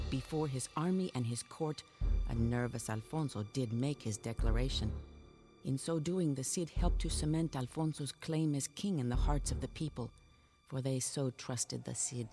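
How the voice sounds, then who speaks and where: An actress playing a medieval Spanish noblewoman who recounts her husband's deeds in measured, scripted storytelling for a game. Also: very deep voice.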